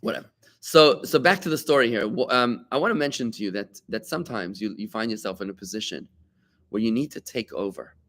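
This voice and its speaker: A man teaching a live Talmud class as a rabbi, animated and conversational, with rhetorical questions and asides to his students. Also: fairly low pitch, fairly quick.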